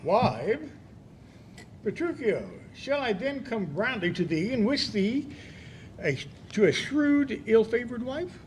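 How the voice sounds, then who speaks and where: A man acting a courteous, lovesick gentleman in a Shakespearean radio play. He has a high voice and a slow, swooping, theatrical delivery, with slight noise behind. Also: exaggerated voice. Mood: teasing. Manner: measured, expressive declamation. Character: courteous, lovesick gentleman friend giving counsel.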